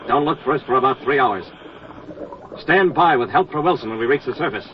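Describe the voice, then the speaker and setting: An actor playing a brave navy diver giving a terse, urgent running report in a 1940s radio drama. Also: male voice, mid-range voice, lively intonation, fairly quick, natural voice. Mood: grave, urgent. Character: brave male navy diver Moran reporting tense undersea rescue. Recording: noisy, dull vintage 1940s radio recording.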